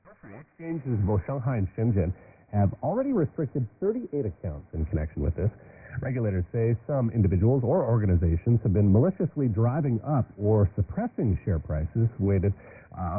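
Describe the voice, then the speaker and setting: A man's voice: fairly low pitch, sing-song, normal speed. A man hosting a radio news programme, presenting and interviewing in a conversational way, heard on shortwave radio. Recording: noisy, narrowband shortwave radio broadcast.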